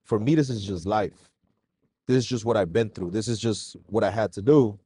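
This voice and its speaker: Low-voiced man from Mexico, slangy. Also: moderate pace, husky. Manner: relaxed, slangy, calls host "bro". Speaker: podcast guest. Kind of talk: casual podcast interview.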